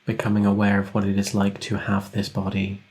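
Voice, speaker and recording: A man leading a guided meditation as a teacher, giving gentle, unhurried instructions with long pauses. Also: on the low side, monotone.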